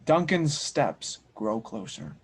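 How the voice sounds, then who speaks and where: A man's voice: a little high, sing-song, takes it slowly. A man reading scene directions as narrator at an audio drama table read, in a dramatic scripted style.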